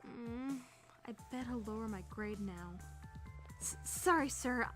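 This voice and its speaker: A woman's voice: on the high side, takes it slowly, a bit bright. A woman playing a sarcastic, easily flustered teenage girl trainee in a visual novel dub, narrating her thoughts and stammering when nervous. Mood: flustered panic. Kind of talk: first-person visual novel narration and dialogue. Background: music.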